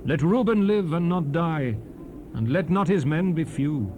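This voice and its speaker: A man's voice: on the high side, lively intonation, a little slow, darkish tone. An actor playing Moses as a stern, fervent aged prophet, declaiming King James scripture in a solemn, emphatic, oratorical way. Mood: solemn blessing. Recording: noisy 1970s cassette rip with hiss.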